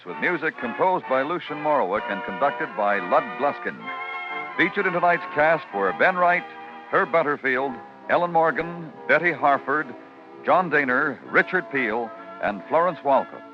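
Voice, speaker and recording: Old-time radio announcer over prominent music. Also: male voice, a little high, expressive. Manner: measured, dramatic delivery. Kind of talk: 1950s radio scripted announcing. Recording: muffled vintage radio broadcast.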